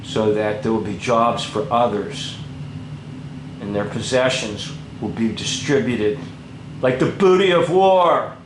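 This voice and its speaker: Slow, indignant man in echoey room. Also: mid-range voice, noisy background. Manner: indignant, lecturing, deliberate. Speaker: commentator. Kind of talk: commenting over a documentary.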